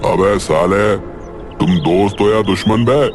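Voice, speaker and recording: A man's voice: fairly low pitch, unhurried, soft background music, lightly acted. A man playing a brash, smitten young college student in a cartoon story. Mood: groggy, annoyed.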